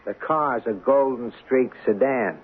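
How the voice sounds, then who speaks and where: Actor as a blunt New beat cop. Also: male voice, mid-range voice, a little slow, lightly acted. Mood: relaxed, griping. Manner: blunt, sardonic, streetwise. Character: tough, honest, plain-spoken city beat cop. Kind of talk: old-time radio drama acting. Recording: tinny, noisy radio broadcast recording.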